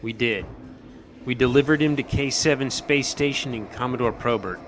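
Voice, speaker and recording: A man's voice: mid-range voice, moderate pace, a bit bright, a little hiss or hum, lightly acted. A man playing a seasoned, modest starship captain recounting his missions in a sci-fi audio drama. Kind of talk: audio drama acting, storytelling. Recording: music bed under the voice.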